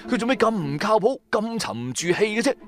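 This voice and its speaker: Radio drama lead: wry, streetwise businessman narrator, Cantonese, colloquial and highly expressive over soft music. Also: a little fast. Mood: amused, exasperated. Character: streetwise, wry businessman turned tomb raider. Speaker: narrator and main character of an audio drama.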